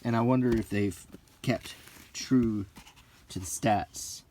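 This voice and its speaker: A man's voice: on the low side, fairly even intonation, takes it slowly, darkish tone, a little hiss or hum. A middle-aged man talking casually and hesitantly in an unscripted, ASMR-like way, musing as he reviews something. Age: 40s to 50s. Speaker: host of a hobby video.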